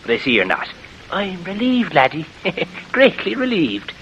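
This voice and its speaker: An actor playing a sly, genial, chatty Irish crook in a 1940s radio drama, with a coy, lilting Irish accent. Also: male voice, sits high, wildly swooping pitch, a little slow, fairly bright tone, heavily acted. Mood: relieved, affable. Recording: dull, noisy old radio recording.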